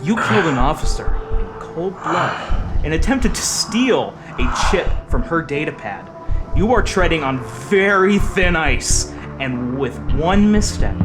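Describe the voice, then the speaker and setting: A man's voice: fairly high pitch, sing-song, unhurried. A man playing a stern, menacing officer running an interrogation in a military sci-fi audio drama, clipped and commanding. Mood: cold menace. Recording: music behind the voice.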